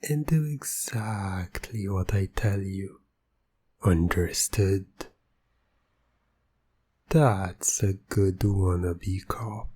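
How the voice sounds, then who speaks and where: A man playing a teasing, domineering hypnotist in an ASMR roleplay, breathy and often near a whisper, with a deliberate, commanding manner. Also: lowish voice, mellow, dry recording, exaggerated voice. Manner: slow, deliberate, commanding, taunting. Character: teasing, domineering male hypnotist, smug and in control. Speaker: roleplay audio performer.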